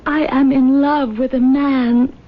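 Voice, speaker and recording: An actress playing an anguished, guilt-ridden young bride in an old-time radio drama, pleading with halting, tearful delivery. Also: female voice, sits high, flat delivery. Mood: confessing. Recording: dull lo-fi radio drama recording.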